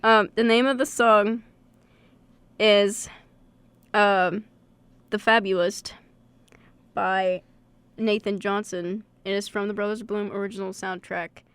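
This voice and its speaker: A woman's voice: medium pitch, fairly even intonation, slow, pauses often, fairly bright tone, clean tone, no room sound. A young woman chatting casually and emotionally on a radio show, with a gushing, dramatic and emphatic way of talking. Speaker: radio show co-host and script reader.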